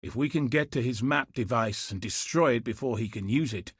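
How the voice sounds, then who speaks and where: A man voicing a grim, dutiful soldier commander in a video game, speaking with husky, solemn, earnest resolve. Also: medium pitch, fairly quick, no echo, clean studio sound, natural voice. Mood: urgent, resolute. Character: grim, dutiful male soldier commander hunting cosmic threats. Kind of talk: scripted video game character dialogue.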